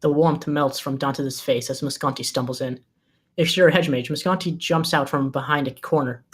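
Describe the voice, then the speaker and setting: A man's voice: fairly high pitch, fairly even intonation, normal speed, breathy edge. A man reading scripted screenplay directions as the narrator of a table read.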